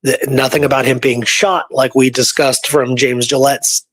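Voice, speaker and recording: A man's voice: medium pitch, moderate pace, slightly rough. A man co-hosting a casual talk show about research, telling things conversationally and often checking in with the listener. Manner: animated storyteller, often tags points with "right?". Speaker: show co-host and history researcher. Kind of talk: casual chat.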